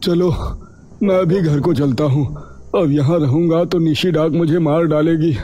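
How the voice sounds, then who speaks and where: A man playing an easygoing village husband in an animated horror story. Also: a little high, a little slow, slight background noise, close to an everyday voice. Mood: resigned. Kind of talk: acted animated story dialogue.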